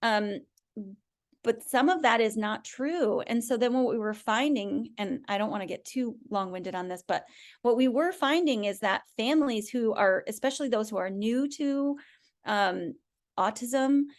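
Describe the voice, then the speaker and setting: A woman's voice: a little high, moderate pace. A woman speaking as an expert podcast guest, explaining in a conversational way and often checking in with the listener.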